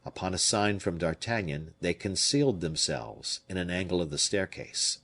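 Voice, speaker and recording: A man narrating an audiobook with expressive storytelling. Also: low voice, moderate pace. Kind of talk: scripted narration.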